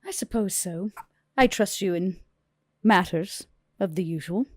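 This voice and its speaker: A woman's voice: mid-range voice, unhurried, no room sound, clean studio sound, close to an everyday voice. A woman playing a haughty, commanding female vampire with a cool, imperious, clipped manner. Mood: disdainful.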